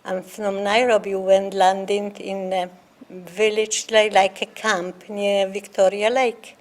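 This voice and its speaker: An older woman from Poland speaks English with a Polish accent, her first language showing through. She talks slowly, pausing often and filling gaps with "uh" as she recounts her past in an interview. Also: mid-range voice, level tone. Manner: halting, with many fillers and pauses. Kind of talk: oral history interview.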